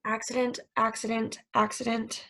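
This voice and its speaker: A woman's voice: fairly high pitch, little pitch movement, normal speed, bright, forceful tone. A woman playing a scheming, eager young plotter in a table reading of a stage play. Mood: conspiratorial, tense.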